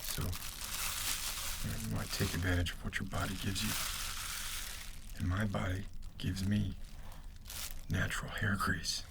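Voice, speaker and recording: A man voicing a smug, menacing gang leader in a soft, intimate ASMR roleplay, speaking low and haltingly with mock kindness. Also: little pitch movement, creaky, heavy background noise. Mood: casual, musing. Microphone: close ear-to-ear ASMR microphone.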